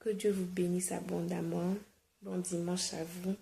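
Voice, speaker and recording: Woman, fry-heavy, dark tone; solemn, devout blessing. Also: fairly low pitch, level tone, a little slow, a little hiss or hum. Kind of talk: blessing in a voice message.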